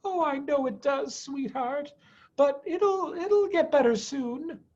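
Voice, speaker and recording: A man's voice: very high-pitched, slow, a little mellow, muffled recording, voice pushed up. A man voicing an anxious, tearful mother fox, tender with the family, in a children's storybook reading. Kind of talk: children's storybook read aloud.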